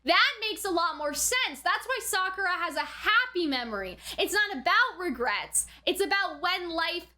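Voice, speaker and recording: A woman giving casual, chatty anime reaction commentary in an animated way, often adding "like" and checking in with the listener. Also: extremely high pitch, moderate pace. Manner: chatty, animated, frequent "like" and "right?". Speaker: anime reviewer.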